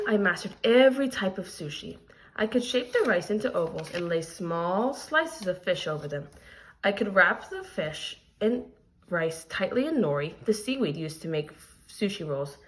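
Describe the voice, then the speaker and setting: A woman teacher delivers an expressive read-aloud for young students. Her intonation is animated and swings widely around a mid-range pitch. She explains things plainly, in a lesson style. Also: poor audio. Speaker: teacher reading a picture book aloud.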